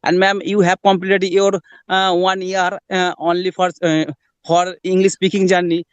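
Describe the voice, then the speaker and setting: Bengali-accented man, crisp dry voice. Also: high-pitched, level tone, normal speed, no room sound, highs cut off. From Bangladesh. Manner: formal, repetitive, polite. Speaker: conversation practice host. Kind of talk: practice conversation.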